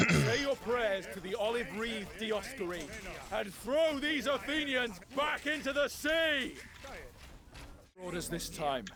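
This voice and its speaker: An actor playing a noble, resolute Spartan commander in a historical video game, speaking in a formal, declamatory way. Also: male voice, high-pitched, full character voice. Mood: commanding.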